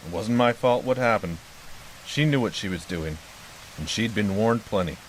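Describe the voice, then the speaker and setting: Male actor as stoic haunted-house caretaker. Low, terse, guarded delivery for horror audio drama, faint rain behind. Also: animated, normal speed, slight background noise, close to an everyday voice, poor audio. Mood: ominous.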